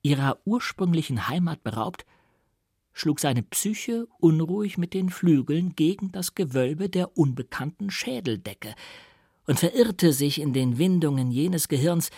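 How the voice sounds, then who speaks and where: Gravelly, husky male literary narrator. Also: fairly high pitch, animated, a little mellow, dry recording, studio quality. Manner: theatrical, deliberate phrasing. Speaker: audiobook reader. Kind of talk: expressive scripted literary narration.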